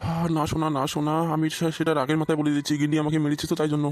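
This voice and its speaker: Henpecked old cartoon husband played by a man, whiny and cowardly, jabbering very fast in a close, dry sound. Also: fairly high pitch, monotone, no echo, close to an everyday voice. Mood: cooing, smitten. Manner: stammering, foul-mouthed, repeats himself. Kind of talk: amateur comic cartoon dubbing.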